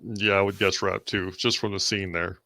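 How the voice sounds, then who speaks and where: A man chiming in on a casual reaction chat with brief, laconic remarks. His voice is low. The recording is dry and close. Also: little pitch movement, normal speed, creaky, sharp tone, no room sound, faint music behind. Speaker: co-host.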